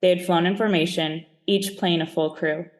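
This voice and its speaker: A woman's voice: on the low side, fairly even intonation, moderate pace. A woman reading diary entries aloud from a script. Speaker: diary reader.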